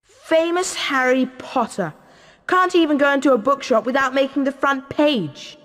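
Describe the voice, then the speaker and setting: A spoiled schoolboy bully sneers in a high voice. It is video game dialogue with a long hall reverb. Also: female voice, moderate pace, fairly bright tone. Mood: sneering.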